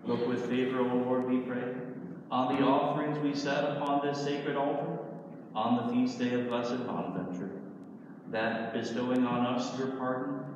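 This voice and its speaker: A man serving as priest, reciting liturgical prayers in a slow, solemn way. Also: medium pitch, fairly even intonation, a little hiss or hum. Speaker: priest celebrating Mass. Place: large church sanctuary. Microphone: far camera. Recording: echoey church from far off, muffled.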